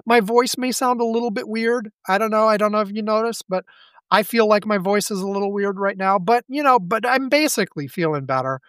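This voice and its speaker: A man's voice: high voice, a bit bright, smooth, no echo, clean studio sound. Rambling man with a scratchy throat. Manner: rambling, halting, self-deprecating. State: scratchy throat, stuffy head. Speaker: podcast co-host. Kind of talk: casual chat.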